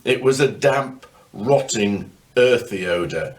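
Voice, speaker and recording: An older man reading a children's ghost story aloud in a wry way, with dramatic pauses. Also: fairly low pitch, lively intonation. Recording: distant microphone.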